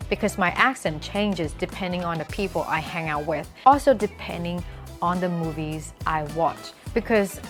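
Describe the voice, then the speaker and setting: A young woman from Thailand, whose first language is Thai, talks straight to her audience as a vlogger. Her voice sits a little low for a woman, and she stresses and repeats her key points over faint music. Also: normal speed. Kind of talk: talking to camera, explanatory vlog with sponsor pitch.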